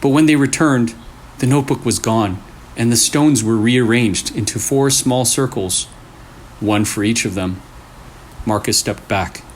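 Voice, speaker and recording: A man reading a scary story aloud in short, deliberate phrases with pauses for suspense. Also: medium pitch. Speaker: storyteller, channel host. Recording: clearly noisy room recording.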